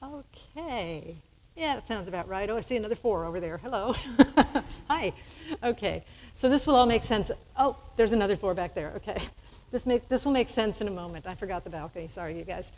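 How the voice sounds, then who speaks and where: A woman's voice: mid-range voice, expressive, a little fast, a little mellow. A woman minister talks easily from a church stage, laughing now and then as she banters with her guest and the congregation. Her voice sits in an ordinary middle range. The sound rings with the long reverb of a big sanctuary. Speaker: minister hosting a church service. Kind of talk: casual on-stage interview. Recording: hall reverb from a large sanctuary.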